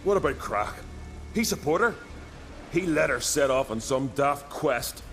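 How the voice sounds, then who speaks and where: An actor playing a loyal, hot-headed young island warrior in a video game, with an earnest, colloquial way of telling a tale. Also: male voice, mid-range voice, animated, a little slow, fairly bright tone, soft background music. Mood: alarmed, indignant. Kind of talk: dramatic video game voice acting.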